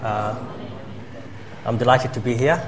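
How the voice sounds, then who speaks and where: A middle-aged man lecturing students in a lecture theater, speaking English as his second language with frequent hesitations and restarts. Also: medium pitch. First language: not English; second language. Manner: halting, many "uh" fillers and restarts. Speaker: university lecturer.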